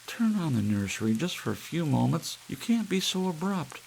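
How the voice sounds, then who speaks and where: An upset, pleading wife, acted by a male reader, implores in a raised, lighter pitch. It is a storybook character. Faint rain and hiss sit behind. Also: sing-song, normal speed, gravelly, darkish tone, rough recording. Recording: audible hiss with rain-like noise.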